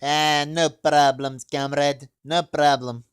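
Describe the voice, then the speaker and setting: A man voicing a brash, wisecracking counter-terrorist operator, teasing and excitable, in a comedy review. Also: on the high side, slow pace, clear, resonant tone, voice pushed down. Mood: breezy. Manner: loud, teasing, calls people comrade.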